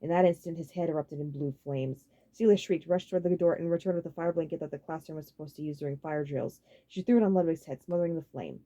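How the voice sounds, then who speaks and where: A woman reading a story aloud as an audiobook narrator, in an expressive, dramatic style. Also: low voice, fast talker, hardly any pauses.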